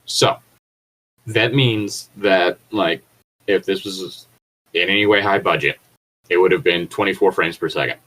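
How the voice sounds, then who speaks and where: A man co-hosting a podcast, reading aloud with casual, joking commentary in a rambling, nitpicking way as he thinks out loud. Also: deep voice, unhurried, long pauses, low-quality recording. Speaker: podcast co-host.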